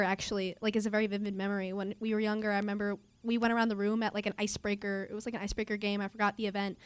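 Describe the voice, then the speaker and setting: A woman's voice: mid-range voice, pushed and bright, no echo. A teenage girl speaking on a student panel in a rambling, filler-heavy way, saying "like" often. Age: teens. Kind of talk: panel discussion answer.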